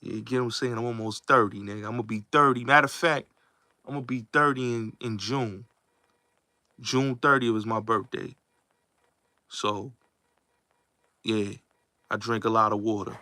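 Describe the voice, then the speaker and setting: A man in his late twenties talks straight to his viewers, leaning on emphasis and saying the same points again and again. His tone is bright and forceful. The recording is dry and close, with no room sound. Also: medium pitch, fairly even intonation, normal speed, pauses often, no room sound. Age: late 20s. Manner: emphatic, repetitive, addresses viewers directly. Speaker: host. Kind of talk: casual livestream commentary. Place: New York.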